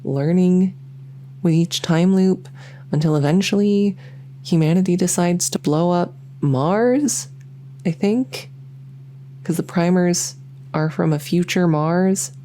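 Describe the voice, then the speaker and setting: Woman playing a low-voiced, geeky, awkward vampire. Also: slow, dark tone, a little hiss or hum. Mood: earnest rambling. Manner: rambling, enthusiastic info-dumping, many fillers. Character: lonely, geeky, socially awkward female vampire. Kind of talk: rambling ASMR audio roleplay. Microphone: close ASMR microphone.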